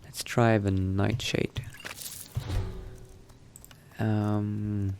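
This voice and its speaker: A man doing in-character game commentary, thinking aloud in a musing, unhurried murmur to himself. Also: lowish voice, flat delivery, darkish tone, faint music behind. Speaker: gamer narrating a roleplay playthrough.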